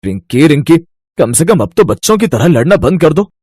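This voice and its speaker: A man voicing a stern, worried elder brother in a cartoon story, with a husky voice and emphatic, scolding delivery. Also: fairly high pitch, sing-song, normal speed, a little mellow, no room sound, clean studio sound. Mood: angry, scolding.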